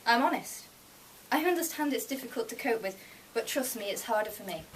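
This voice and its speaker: A woman's voice: a little high, expressive, fairly quick, distant mic, voice pushed up, close to an everyday voice. Death as an easygoing, plain-spoken boyfriend for a short story, female reader, heard from off-mic in a noisy room. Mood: calm, honest. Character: laid-back man who is Death, patient, matter-of-fact.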